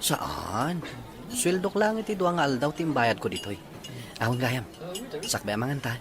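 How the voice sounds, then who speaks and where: A man acting a sincere young working man in love in a radio drama, soft-hearted and earnest. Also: mid-range voice, very animated, moderate pace. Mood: modest, hopeful.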